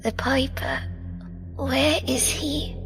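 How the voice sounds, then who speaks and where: A grave, knowing captive woman speaks with a gravelly creak. Her delivery is slow, measured and formal, an audio drama performance set over clear music. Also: sits high, exaggerated voice. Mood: alarmed. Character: composed, grave woman captive with otherworldly knowledge. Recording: music under the voice.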